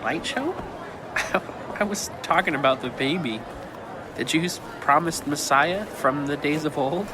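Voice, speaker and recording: Man acting young shepherd slave, earnest, unsure, highish voice, busy crowd behind. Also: lively intonation, a little slow. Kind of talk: family audio drama. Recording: crowd murmur and background noise.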